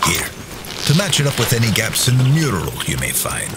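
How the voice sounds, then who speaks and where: A man's voice: mid-range voice, wildly swooping pitch, a little fast, low-quality recording. An actor playing a cautious, protective man of authority in an audio drama, formal and worried. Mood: worried, careful. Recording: clear music behind the voice.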